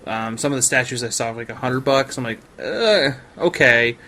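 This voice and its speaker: Regular pitched guy just chatting on a podcast, wry, sort of incredulous, informal. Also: normal speed, pushed and bright, a little hiss or hum. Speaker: podcast participant and collector. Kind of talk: casual chat.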